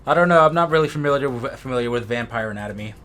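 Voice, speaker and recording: A man's voice: medium pitch, animated, very fast, fairly bright tone, soft background music. A man co-hosting a let's play, chatting casually as he comments on a video game. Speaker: co-host of a gaming let's play. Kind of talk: casual gameplay commentary chat.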